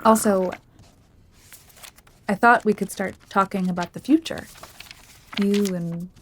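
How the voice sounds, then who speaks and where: A woman playing a tender, hopeful female cat in love, with a gentle, sincere delivery in an audio drama. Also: mid-range voice, takes it slowly, noisy background, close to an everyday voice. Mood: fond, hopeful.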